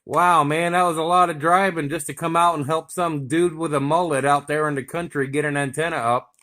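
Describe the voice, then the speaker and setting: An American man talks casually over two-way radio as a CB operator. His voice is bright and forceful, sitting slightly higher than most men's. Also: steady tone, fairly quick.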